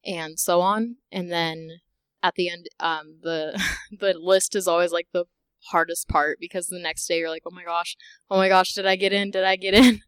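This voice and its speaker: A teenage girl, a high school senior and singer, talking through her audition story with many fillers. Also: steady tone, normal speed, smooth, no room sound. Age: teens.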